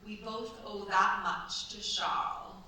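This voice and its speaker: A woman's voice: mid-range voice, steady tone, slow, sharp tone. A woman acting a shrewd, coaxing older French chateau mistress in a staged radio play, with a theatrical, commanding manner. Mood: persuasive. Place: theatre stage at a cultural centre. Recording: very echoey stage, distant mic, faint noise.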